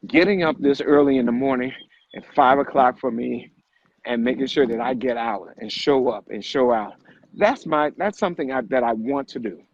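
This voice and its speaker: A man's voice: mid-range voice, moderate pace. A man co-hosting a live motivational vlog, speaking emphatically with repeated phrases and rhetorical questions. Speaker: vlog co-host and fitness motivator. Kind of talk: motivational talk on a live stream. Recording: muffled audio with background noise.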